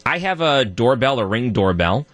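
A man with a deep voice, guest hosting a talk radio show and arguing his point alone, his voice jumping higher when he gets worked up. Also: normal speed, no room sound, faint music behind. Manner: animated, voice jumps high when worked up. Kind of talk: solo talk radio monologue.

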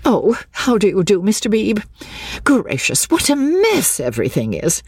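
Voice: medium pitch, huge pitch swings, measured pace, husky, dry recording, pitched above the performer's own voice, full character voice. A reader voicing a cross, flustered middle-class English matron who fusses in breathless exclamations, for an audiobook. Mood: flustered, complaining.

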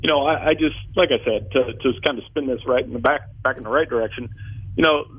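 A man in his forties from Ohio joins a sports radio show by phone. His voice is husky and comes through a thin, tinny phone line. He talks animatedly and trips over his own restarts. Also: medium pitch, fast talker. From Southeast Ohio. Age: 40s. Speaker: guest sportswriter. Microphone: phone call.

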